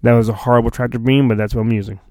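A man's voice: mid-range voice, steady tone, racing, mellow, no echo, clean studio sound. A man hosting a podcast, reading a storybook in a theatrical, mock-dramatic way and breaking off into rambling, sarcastic asides. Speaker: podcast host.

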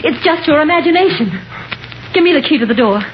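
High-voiced, worried woman, vintage radio. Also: lively intonation, quick pace. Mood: determined. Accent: American. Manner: earnest, melodramatic, urgent. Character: worried, insistent sweetheart pleading with a sick man. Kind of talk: 1950s radio drama acting. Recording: muffled, noisy 1950s radio recording.

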